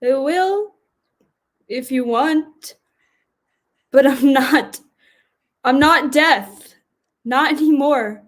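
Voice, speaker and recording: Former Death, now just a person, in a stage drama woman acting: high-pitched, extremely slow, remorseful, gentle, earnest. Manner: halting, earnest, deliberate. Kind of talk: theatrical dialogue in a staged reading.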